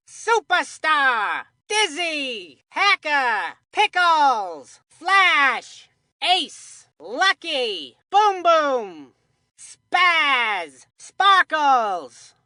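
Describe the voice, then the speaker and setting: A woman's voice: sits high, sing-song, drawn-out words, pushed and bright, no echo, studio quality, full character voice. A woman voicing an eager, chatty boy who works as a sports commentator in a cartoon video game, with a folksy, earnest announcer delivery. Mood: proud announcing.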